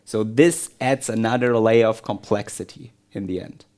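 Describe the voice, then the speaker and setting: A man's voice: on the low side, normal speed, darkish tone. A man hosting a conversational business podcast, explaining points and often checking in with a short tag question. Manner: explanatory, frequently checks in with "right?". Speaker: podcast host.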